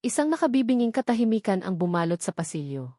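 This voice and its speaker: A woman narrates a dramatic tale in a medium-pitched voice. Her delivery is measured and made for storytelling. The recording is dry and free of room echo. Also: big pitch swings, dark tone.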